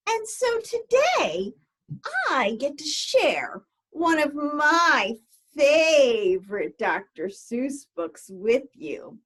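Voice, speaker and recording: A woman presenting a storytime for children, speaking to them directly in a deliberate, theatrical way. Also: sits high, sing-song, fairly bright tone. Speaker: guest storybook reader for children.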